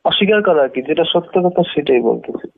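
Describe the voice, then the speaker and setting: A man's voice: on the high side, lively intonation, fast, creaky, slightly rough. A man arguing on a phone call, defensive and dismissive, often retorting with questions. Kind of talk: argumentative phone conversation. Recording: tinny narrowband phone call recording.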